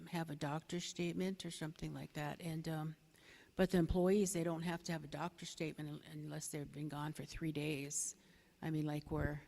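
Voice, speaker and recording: A middle-aged woman with a soft voice giving a hesitant, plain-spoken, unscripted answer as a candidate at a public forum. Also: on the low side. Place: bingo hall, public forum over a PA. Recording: echoey hall with long reverb.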